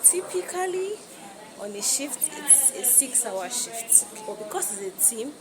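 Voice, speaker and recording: A woman's voice: high voice, very animated, a little slow, loud music behind the voice. A woman with a Nigerian accent explains her work as an air traffic controller in an interview. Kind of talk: interview answers explaining the job. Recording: loud chatter of other voices behind.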